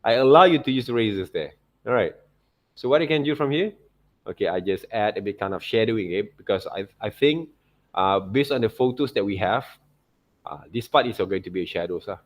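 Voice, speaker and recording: A man with a Malaysian accent teaching an informal software tutorial, often checking in with short tag words like okay and right. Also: lowish voice, fairly quick. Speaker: art teacher.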